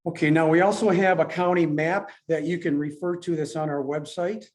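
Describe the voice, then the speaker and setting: A man giving an informal explanatory presentation as a club speaker, in a halting manner with repeats and self-corrections. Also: fairly high pitch, fairly even intonation. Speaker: club presenter explaining radio contest rules. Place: club meeting room with a few people present.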